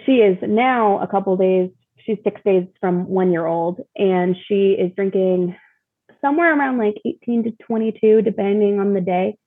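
A woman's voice: medium pitch, normal speed, slightly rough, narrow, tinny audio. A woman guest chatting casually and informally about her own experience, with a self-deprecating touch, over thin call audio. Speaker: interview guest, mother of young child. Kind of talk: casual chat.

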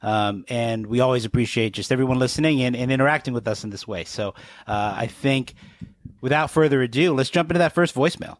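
A man with a mid-pitched voice chats casually as a podcast co-host. The recording is very dry, free of room sound. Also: a little fast, dry recording.

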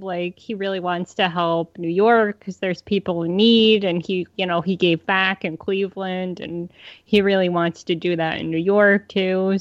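A woman's voice: mid-range voice, no room sound, highs cut off. A woman co-hosting a casual sports podcast, gushing and mocking by turns as she quotes herself. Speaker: podcast co-host.

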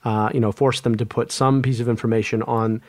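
A man's voice: medium pitch, little pitch movement, brisk, a little mellow, dry recording. A man, an epidemiologist guest, speaking earnestly in an interview, often restarting and repeating words. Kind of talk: conversational interview.

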